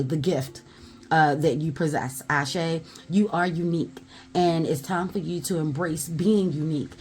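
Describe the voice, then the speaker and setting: A woman giving a spiritual tarot message in a loud, emphatic voice, blunt and at times swearing, punctuating her points with repeated affirmations. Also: deep voice, slight background noise. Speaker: tarot reader.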